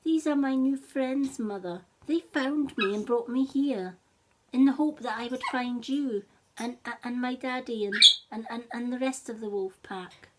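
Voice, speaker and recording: Woman reading a small wolf cub, higher, earnest, unhurried, soft hiss behind. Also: natural voice. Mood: eager. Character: lost little male wolf cub, polite and eager.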